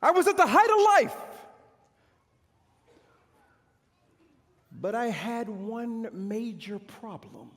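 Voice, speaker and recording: A proud, bitter military commander of old , played by a man declaims to an audience in a theatrical monologue. His delivery is pompous and very animated, broken by dramatic pauses. The voice rings through a big hall with long reverb. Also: very high voice, heavily acted. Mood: bitter, frustrated. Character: proud, boastful male army commander of ancient Israel's era, bitter. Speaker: pastor performing a monologue. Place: large church hall stage.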